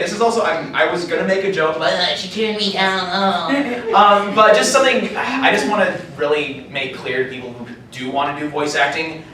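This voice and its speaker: A man's voice: high-pitched, fairly bright tone. A man who acts in voice roles, chatting casually on a convention panel in a joking, self-mocking way. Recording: very echoey noisy hall, distant mic.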